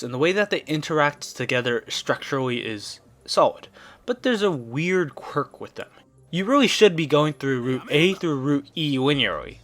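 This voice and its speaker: A man reading a scripted review as a video reviewer, in a measured, explanatory and opinionated way. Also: medium pitch, fairly bright tone.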